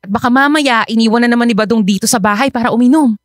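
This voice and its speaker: A woman's voice: on the high side, very fast, no echo, clean studio sound, natural voice. A woman playing an exasperated, worried older sister and working mother in radio drama dialogue, with emphatic, complaining interjections. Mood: fed up, worried.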